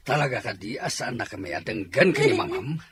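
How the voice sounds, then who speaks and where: A man playing a stern, domineering middle-aged father in an audio drama, forceful in his delivery. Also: medium pitch, expressive, fairly quick, over a noisy crowd, natural voice. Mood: angry scolding. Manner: scolding, forceful.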